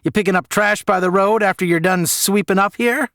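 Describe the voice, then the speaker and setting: Man doing a high, jeering college heckler. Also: brisk, a bit bright, dry recording, clean studio sound. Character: mocking, obnoxious male college student heckler.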